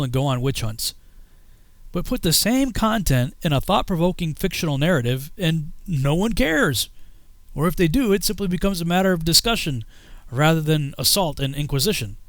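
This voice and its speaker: A man narrating his own scripted video as a filmmaker, with measured, emphatic phrasing. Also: on the high side, dry recording. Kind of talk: scripted personal narration with a fundraising appeal.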